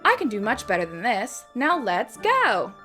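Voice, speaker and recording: A woman's voice: fairly high pitch, wildly swooping pitch, moderate pace, faint music behind, heavily acted. A woman voicing a bubbly, confident teenage witch schoolgirl in a story reading, emphatic and eager. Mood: pleasant, confident. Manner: bright, pleasant, eagerly emphatic.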